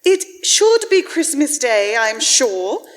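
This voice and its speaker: A feisty Victorian wife and mother played by a woman in a radio drama. Her high voice swings widely, tart and emphatic with ready indignation. The hall around her leaves a long reverb. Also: big pitch swings, takes it slowly, heavily acted. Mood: scathing. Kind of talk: live radio-play acting of a Victorian story. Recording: live in a reverberant church hall.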